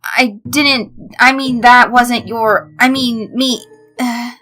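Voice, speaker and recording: Awkward, earnest teenage boy in love, halting and bright female reader in the role, for an audio story. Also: a little high, fairly even intonation, faint music behind. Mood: flustered.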